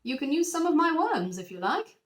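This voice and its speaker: A woman's voice: high-pitched, sing-song, a little fast, pitched above the performer's own voice. A woman voicing a friendly, helpful fisherman with an emphatic manner in a children's storybook. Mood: friendly offer.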